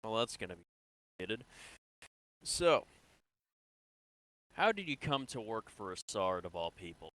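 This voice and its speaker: A man's voice: fairly low pitch, very animated, a little slow, long pauses, fairly bright tone, no echo, low-quality recording. A man playing an interrogator in an improvised online game roleplay, speaking in a conversational but assertive way.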